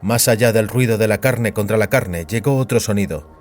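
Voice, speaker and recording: A man narrates dramatic fiction as an audiobook reader. His voice is fairly low, set over background music. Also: normal speed.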